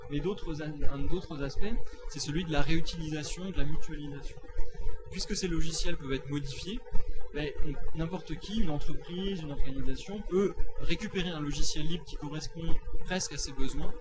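Halting, higher-voiced man explaining methodically. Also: low-quality recording. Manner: halting, repeats words while explaining; didactic, methodical. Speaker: free software advocate. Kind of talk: explanatory talk, semi-spontaneous.

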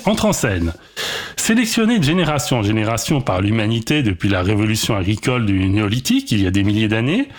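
A man delivering a prepared radio column in formal, explanatory phrasing. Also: medium pitch.

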